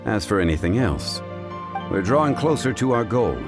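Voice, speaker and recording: A man playing a composed, learned gentleman in a video game, speaking formally and courteously with a measured, erudite manner. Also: on the low side, sing-song. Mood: explanatory. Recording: music behind the voice.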